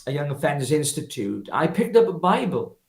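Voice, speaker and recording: A man's voice: a little high, big pitch swings, a little fast, creaky, echoey room. A Welshman in his fifties, a guest telling his life story in an earnest, personal way with many restarts. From Wales. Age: 50s. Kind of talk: casual interview talk.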